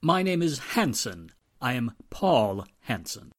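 A man's voice: mid-range voice, big pitch swings, slow, fairly bright tone. A man playing a haughty, indignant stage actor, pompous and formal, in 1940s radio style.

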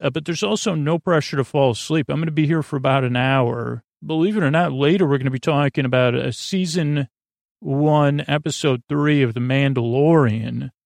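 Male podcast host, creaky voice, close dry sound; whimsical, halting bedtime rambler who wanders off mid-sentence. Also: a little high, a little mellow, no room sound. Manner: meandering, halting, trailing off mid-thought; goofy, whimsical. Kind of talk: rambling sleep podcast monologue.

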